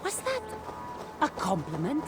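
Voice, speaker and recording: A wry woman warrior of a cinematic video game. Her Greek accent carries teasing, dry banter, and her pitch moves around expressively. Music plays behind her. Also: huge pitch swings, unhurried, heavily acted.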